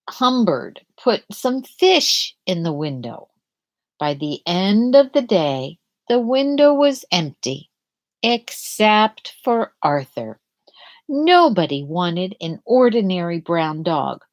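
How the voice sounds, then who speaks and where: A woman reading a picture book aloud to young children, with dramatic, deliberate phrasing and questions to the listeners. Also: mid-range voice.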